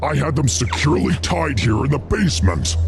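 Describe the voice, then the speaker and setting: A man voicing a gruff, villainous wolf master in an audio fantasy story. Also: mid-range voice, big pitch swings, normal speed, over music. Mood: baffled, angry. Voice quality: gruff, gravelly.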